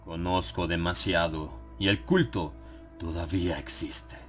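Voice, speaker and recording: A man narrating a dramatized horror audiobook in a grave, theatrical manner with dramatic pauses. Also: sits low, fairly even intonation, a bit bright. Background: background music.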